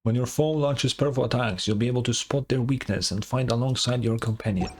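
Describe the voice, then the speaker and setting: A man's voice: mid-range voice, a little fast, background noise. A man streaming a game with casual, offhand, sweary commentary, reading some of the text aloud. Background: game music.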